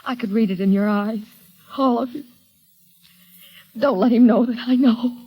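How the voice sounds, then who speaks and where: An actress playing a playful, devoted young wife in an expressive 1940s radio drama, with a breathy voice. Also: female voice, fairly high pitch, normal speed, muffled recording, soft background music, close to an everyday voice. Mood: grave, tense. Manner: expressive, emotive. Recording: vintage radio broadcast.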